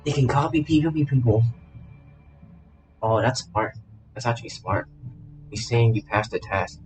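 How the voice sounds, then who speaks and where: A man's voice: medium pitch, normal speed, music in the background, rough recording. A man streaming a video game, giving casual commentary and often repeating his words and phrases.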